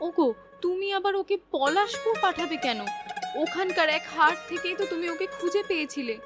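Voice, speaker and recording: A woman acting a doting, worried mother in an animated moral tale. Her voice is high and melodramatic, with music playing prominently behind it. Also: fairly quick. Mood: fearful, pleading. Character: anxious, doting, possessive middle-aged mother and wife. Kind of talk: melodramatic cartoon story dialogue.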